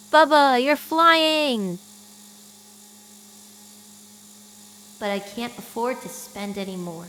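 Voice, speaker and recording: A woman playing an excitable, caring young woman in an audio drama, expressive and loyal. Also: sits high, heavily acted. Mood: playful.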